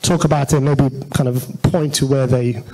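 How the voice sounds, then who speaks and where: A man with a noticeably creaky, gravelly voice at a middling pitch gives an informal tech talk from a stage. He speaks into a handheld microphone in a conversational manner, feeling his way through the phrases. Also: steady tone, moderate pace, a little mellow, poor audio. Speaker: conference speaker. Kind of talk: informal technical presentation. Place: auditorium stage at a meetup.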